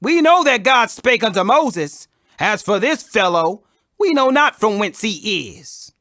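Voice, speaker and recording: A man's voice: high-pitched, very animated, measured pace, pushed and bright, dry recording, studio quality, pitched above the performer's own voice, full character voice. A man voicing stern, proud Pharisees who question and accuse, insistent and declamatory, in a scripture reading. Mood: scornful.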